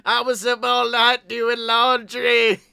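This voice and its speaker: Man putting on a plaintive, defensive family member, bright voice. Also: very high-pitched, monotone, slow pace, gravelly, clean tone, dry recording, exaggerated voice. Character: wrestler's family, child and mother, explaining themselves defensively.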